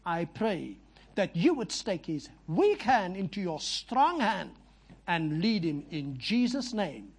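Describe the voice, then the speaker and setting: A man's voice: high voice, very animated, takes it slowly, husky. A man whose first language is Afrikaans, preaching and praying fervently in a church, with chatty asides to the people there. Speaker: visiting preacher. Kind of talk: sermon and prayer.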